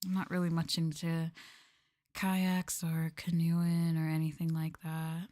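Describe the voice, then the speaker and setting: A soft-spoken woman chatting casually and playfully with viewers as the host of an ASMR livestream. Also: low voice, monotone, a little slow. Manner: soft-spoken, playful, drifting into close-mic whispers. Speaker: VTuber streamer and host.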